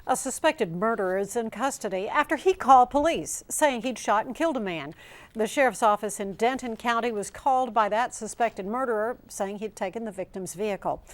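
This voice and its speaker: A female anchor reads a scripted television newscast in a studio. Her pitch is slightly higher than the average woman's. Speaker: news anchor. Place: TV news studio.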